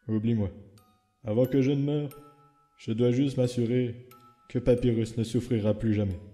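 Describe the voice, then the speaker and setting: Guy doing a tired guilty skeleton hero, really dark mellow voice, halting, comic dub drama. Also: medium pitch, faint music behind, natural voice. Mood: resigned, firm.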